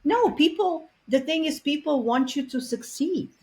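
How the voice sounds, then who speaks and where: A woman's voice: high voice, lively intonation, moderate pace, darkish tone. Earnest woman. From Bulgaria. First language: Bulgarian. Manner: earnest, reflective, many fillers. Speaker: guest expert on multilingual parenting. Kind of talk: conversational interview.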